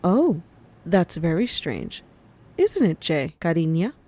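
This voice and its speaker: A woman's voice: on the low side, big pitch swings, mellow, full character voice. A woman as a silky, menacing mafia madam. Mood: mock-sweet. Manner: measured, mock-sweet, authoritative. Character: commanding mafia madam, silkily polite yet menacing. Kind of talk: audio roleplay acting.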